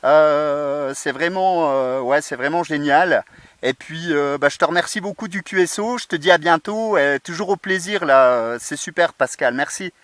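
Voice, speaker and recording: A man's voice: on the high side, normal speed, a bit bright, dry recording. A man, an amateur radio operator, chatting casually outdoors into a portable set's microphone. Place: outdoors in a field under a tree. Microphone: portable radio transceiver microphone.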